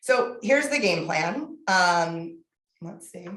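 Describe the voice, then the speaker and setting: Husky-voiced woman lecturing in an echoey room. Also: on the low side, slow. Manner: candid, energetic, frequent fillers. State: husky from laryngitis. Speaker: guest speaker. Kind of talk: public lecture.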